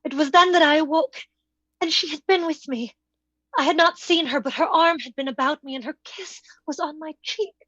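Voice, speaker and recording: A woman's voice: sits high, moderate pace, fairly bright tone, no room sound, dull audio. A woman playing an earnest young woman in love, with breathless, formal delivery in old-time radio drama style. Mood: wondering.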